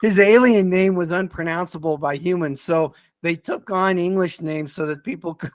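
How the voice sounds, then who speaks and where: A man in a casual podcast discussion, speaking in a hesitant, halting way and often repeating words as he reviews stories. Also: sits high, no echo, studio quality.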